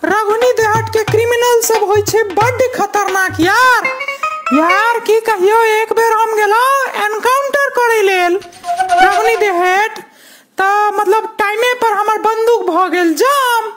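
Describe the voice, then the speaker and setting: Hapless, boastful policeman, tiny ultra-high cartoon voice, slow delivery, Maithili accent. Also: music in the background. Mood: boastful, chummy. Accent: Maithili, from Mithila. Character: hapless, boastful, squeaky male police inspector. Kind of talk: amateur cartoon comedy dialogue.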